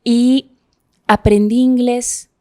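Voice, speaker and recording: A woman's voice: a little high, level tone, drawn-out words, dark tone. A woman from Guadalajara with a local Mexican accent, an interpreter speaking earnestly on a panel.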